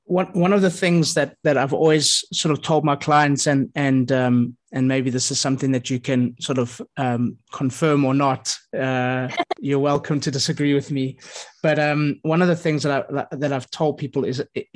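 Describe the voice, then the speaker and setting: A man's voice: medium pitch, flat delivery, normal speed. A man hosting a casual interview, enthusiastic and prone to stumbling restarts as he asks questions.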